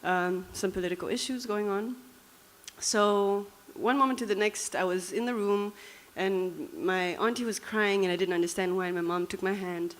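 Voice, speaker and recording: A woman's voice: medium pitch, normal speed. A young woman from Namibia with a Dutch accent, giving a reflective, conversational personal talk with frequent fillers. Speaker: speaker.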